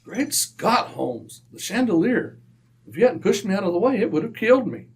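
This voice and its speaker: Flustered english gentleman sidekick, old radio drama, voice jumps all over, noisy tape. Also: sits high, sing-song, moderate pace. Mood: alarmed. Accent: British. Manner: bewildered, exclamatory. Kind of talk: old-time radio mystery acting.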